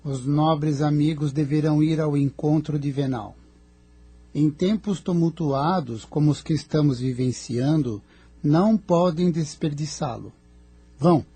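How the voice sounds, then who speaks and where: An actor playing a noble, calm spiritual guide in an audio drama, speaking formally and in a measured, solemn way. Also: male voice, a little high, breathy edge, no echo.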